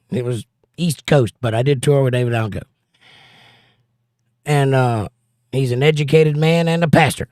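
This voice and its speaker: A man with a hoarse, partly lost voice, hosting a casual livestream and giving plain-spoken, folksy advice while reading viewer comments. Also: mid-range voice, darkish tone, dry recording, clean studio sound. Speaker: livestream host and pastor.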